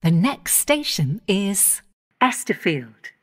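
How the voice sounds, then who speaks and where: Woman, onboard train announcer; slow, even delivery with a lively rise and fall, station-by-station phrasing. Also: medium pitch, slightly rough. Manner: measured, clipped station-by-station phrasing.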